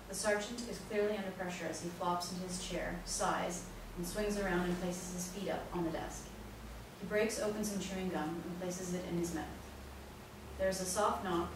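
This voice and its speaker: Woman narrator in a very echoey hall. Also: lowish voice. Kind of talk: scripted reading of stage directions. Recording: very echoey hall, distant, noisy.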